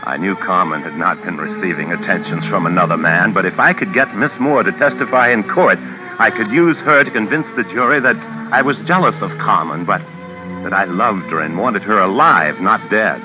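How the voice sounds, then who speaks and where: Male, actor as smug scheming attorney, very low voice, articulate radio crime narration, muffled vintage recording with music. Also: a little fast, natural voice. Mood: smug, calculating. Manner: pompous, self-satisfied, articulate. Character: smug, calculating male lawyer narrating his own murder scheme. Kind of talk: 1940s radio acting, first-person crime narration.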